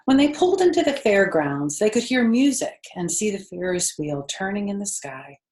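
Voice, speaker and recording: An older woman reads a children's book in a room with noticeable echo. Her voice sits at a middle pitch. She is expressive and grandmotherly, pausing to explain things to young listeners. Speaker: storyteller reading to children. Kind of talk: expressive read-aloud of a children's book with chatty asides. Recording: echoey room sound.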